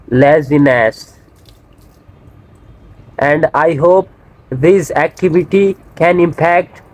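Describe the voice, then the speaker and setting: A young man from India with an Indian English accent, whose first language is Hindi, narrating a casual vlog in simple English with many pauses and fillers. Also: on the high side, a little mellow, low-quality recording. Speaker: vlogger. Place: outdoors in a busy industrial area and market.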